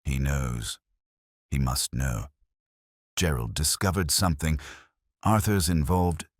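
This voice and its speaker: A man's voice: very deep voice, slow pace, dry recording, studio quality, exaggerated voice. A man voicing a tense, frightened, guarded widow in an audiobook detective story.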